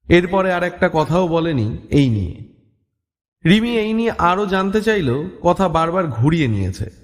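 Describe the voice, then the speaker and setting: Higher-pitched male horror narrator in reverb. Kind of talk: scripted horror story narration. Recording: long reverb.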